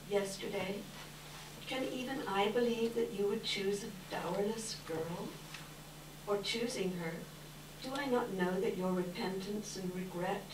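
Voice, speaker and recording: A woman playing a sorrowful, dignified young fiancée in a measured, formal stage reading. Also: medium pitch, natural voice. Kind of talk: readers theater stage reading. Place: indoor live event, distant microphone. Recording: distant, echoey hall with clear noise.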